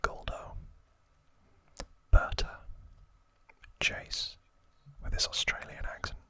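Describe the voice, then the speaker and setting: Guy doing asmr, all breathy whisper, crisp and bright, slow and soft. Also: dry recording. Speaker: host of an ASMR channel. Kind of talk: whispered ASMR trivia, part reading, part casual asides.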